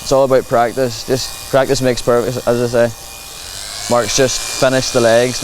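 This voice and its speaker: A man with a Northern Irish accent giving a plain, practical, reassuring explanation as he commentates on a hands-on demonstration. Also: medium pitch. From Northern Ireland. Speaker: livestock clipping demonstrator. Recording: clear buzzing clipper and machinery noise.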